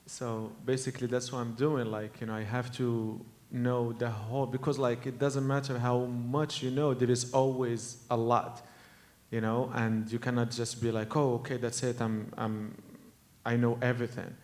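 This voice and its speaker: A man in his early thirties, a musician on a panel, talking earnestly and haltingly, often acting out imagined voices. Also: medium pitch, flat delivery, a little mellow. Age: 30s. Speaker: Gnawa musician, panel guest. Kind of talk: casual panel conversation.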